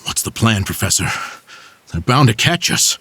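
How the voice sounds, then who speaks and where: An actor playing an excitable, irreverent male fugitive sidekick in a fantasy video game, with expressive, colloquial delivery. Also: lowish voice, moderate pace, breathy edge, dry recording, exaggerated voice. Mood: anxious, alarmed. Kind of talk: dramatic video game voice acting.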